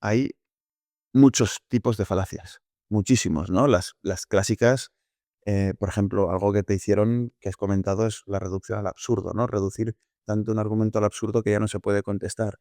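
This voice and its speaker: A man's voice: on the low side, fairly even intonation, normal speed, warm tone, no room sound, studio quality. A man co-hosting a casual podcast, explaining ideas step by step with hesitations and self-corrections. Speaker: podcast co-host.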